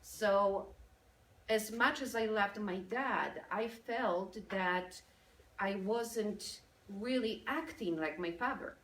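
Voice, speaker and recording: A woman's voice: mid-range voice, slow pace, slight background noise. Polish-accented woman addressing a crowd. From Poland. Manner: lively, audience-engaging. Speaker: speaker. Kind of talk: motivational business talk. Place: event room with audience. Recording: echoey room from a distance.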